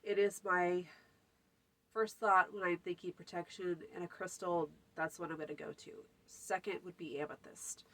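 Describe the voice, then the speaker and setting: A woman's voice: lowish voice, steady tone, normal speed, fairly bright tone, a little hiss or hum, rough recording. A woman hosting a folk magic channel, talking casually through a how-to with a stuffy, runny nose and lots of fillers. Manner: chatty, many fillers, lists items. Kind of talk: casual spoken tutorial.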